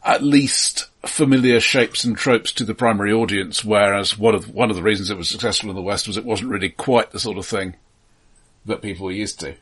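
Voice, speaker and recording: A man co-hosting a film podcast in casual discussion, speaking haltingly with repeated words and dry, wry humour. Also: fairly low pitch, fairly bright tone, slightly rough.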